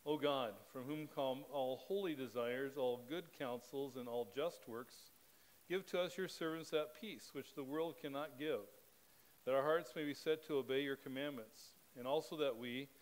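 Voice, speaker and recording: A man's voice: medium pitch, low-quality recording. Middle-aged man reading liturgy at a pulpit. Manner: measured, steady reading cadence. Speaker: pastor. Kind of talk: leading a spoken liturgy. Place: church sanctuary, at a pulpit. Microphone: pulpit microphone.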